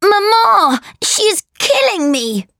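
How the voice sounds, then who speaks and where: Spoilt fourteen-year-old storybook bully played by a woman: high, animated, deliberately paced, taunting and bossy. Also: no room sound, clean studio sound, exaggerated voice. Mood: whining, panicked. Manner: commanding, taunting. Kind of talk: audiobook character voice.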